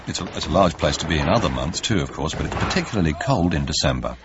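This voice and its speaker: An actor playing a deadpan radio documentary reporter who meanders and corrects himself. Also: male voice, a bass voice, quick pace, muffled recording, noisy background. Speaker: documentary narrator.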